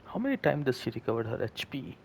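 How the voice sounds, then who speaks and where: A man's voice: very animated, fast talker, vocal fry. A man giving casual, informal gameplay commentary, reacting in a puzzled way. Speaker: gaming video creator. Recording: noisy mic with low rumble.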